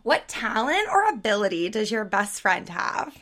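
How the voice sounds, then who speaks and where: A young woman with a raspy voice co-hosting a book podcast, joking and giggling in casual chat. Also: medium pitch, very animated, moderate pace, a bit bright. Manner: playful, giggly. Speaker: podcast co-host.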